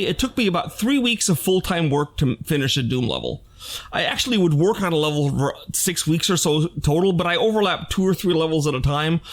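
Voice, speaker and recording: A man in his sixties speaks to viewers as a candid vlog host. His voice sits a little high for a man and moves with very varied, animated pitch. The delivery is conversational and emphatic, like someone explaining his opinion directly to fans. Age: 60s. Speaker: game designer and video channel host.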